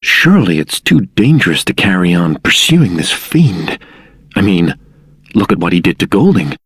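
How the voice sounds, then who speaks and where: An actor playing a wary, earnest, thoughtful adventurer in a video game, with a husky voice. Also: male voice, low-pitched, big pitch swings, moderate pace, fairly bright tone, no echo, exaggerated voice. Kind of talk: video game character acting.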